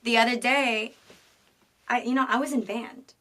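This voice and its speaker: A woman's voice: on the high side, fairly even intonation, moderate pace, bright, forceful tone, poor audio. A young adult woman from the New York and New Jersey area, a guest in a casual interview, talking in a bubbly, youthful way. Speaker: pop singer being interviewed. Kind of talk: casual chat.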